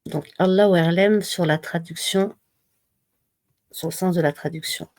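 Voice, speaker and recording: A woman giving a slow, measured devotional reading and reminder, pausing often between phrases. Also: on the low side, fairly even intonation, a little mellow, no room sound. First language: not Arabic. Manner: slow, measured recitation with pauses. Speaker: religious reminder speaker.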